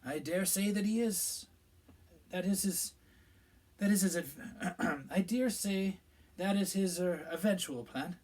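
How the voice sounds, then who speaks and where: A man's voice: sits high, moderate pace, a little mellow, voice pushed up. A man doing a pompous, fussy middle-aged minister. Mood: solemn, pompous. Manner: pompous, self-important, evasive.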